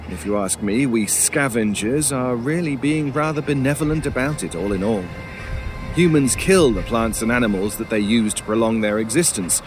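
Sinister crow creature, acted by a man, horror audio drama, erudite, formal, drily mocking, mid-pitched, noticeable background noise. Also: lightly acted. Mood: smug, matter-of-fact. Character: sinister talking crow-like scavenger of souls, sardonic.

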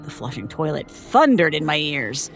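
An actress playing a shaken woman who haltingly recounts a traumatic childhood ordeal in an audio drama monologue. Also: low-pitched, very animated, vocal fry, soft background music, exaggerated voice. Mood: haunted, tense. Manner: halting, haunted storytelling. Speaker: interviewee.

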